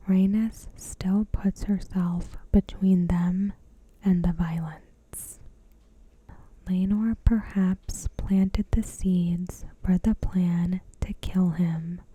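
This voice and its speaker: A woman giving ASMR commentary as a host, in a soft, breathy whisper with a gentle, measured, deliberate delivery. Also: fairly low pitch, rough recording. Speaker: video host.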